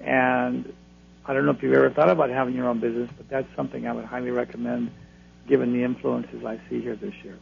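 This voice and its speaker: Male astrologer guest, reassuring, gravelly, phone-line sound. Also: medium pitch, level tone, fast talker. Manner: reassuring, advisory. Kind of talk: call-in radio readings. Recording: phone line, faint hum.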